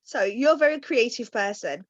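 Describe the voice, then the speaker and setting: A woman's voice: fairly high pitch, lively intonation, normal speed, no echo, muffled recording. A woman hosting a client interview for a small food company, asking upbeat, leading questions. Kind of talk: friendly scripted interview questions.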